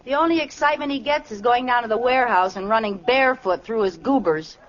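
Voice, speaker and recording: An actress playing a playful, catty housewife in a 1940s radio comedy, teasing and sarcastic. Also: female voice, fairly high pitch, quick pace, natural voice. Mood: catty, jealous. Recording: muffled old radio recording, heavy noise, audience.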